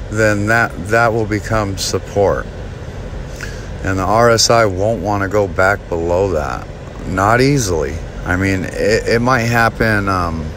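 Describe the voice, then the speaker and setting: A man talking casually to his viewers about a stock chart, halting with many ums and restarts. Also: low-pitched, background noise. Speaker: stock market video host. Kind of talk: casual talk over a chart screen share.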